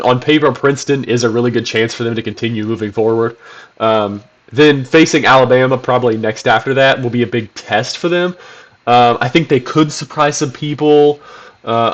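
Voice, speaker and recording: A man's voice: mid-range voice, dull audio. A man hosting his own basketball channel, sounding congested from a cold, talking casually with lots of ums and restarts. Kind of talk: casual solo commentary video.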